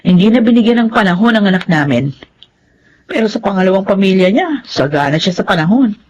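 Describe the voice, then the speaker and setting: A woman's voice: medium pitch, animated, a little fast, darkish tone, lo-fi sound, a little hiss or hum, close to an everyday voice. An actress with a Filipino accent playing a self-excusing mother in a melodrama. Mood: defensive, bitter. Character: self-excusing adult mother leaving her daughter in another's care. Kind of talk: Filipino radio-style melodrama acting.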